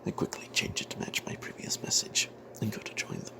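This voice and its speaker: A man tells a tabletop fantasy adventure as an in-character narrator, in a breathy, hushed, secretive ASMR whisper with dramatic pauses. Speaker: narrator telling the tale in character as a cleric.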